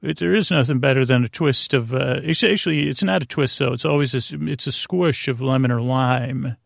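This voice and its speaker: Creaky, meandering male sleep-podcast host. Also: medium pitch, no room sound, studio quality. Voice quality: creaky, lulling voice. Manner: meandering, halting, stammering, self-interrupting. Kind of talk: rambling bedtime sleep-podcast monologue.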